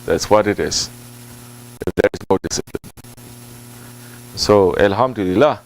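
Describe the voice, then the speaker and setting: A man speaking as a Sufi religious teacher in an informal sermon, with deliberate, halting phrasing, repetition for emphasis and Arabic and Turkish devotional phrases mixed into his English. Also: on the low side, soft background music, low-quality recording. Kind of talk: informal sermon answering a question.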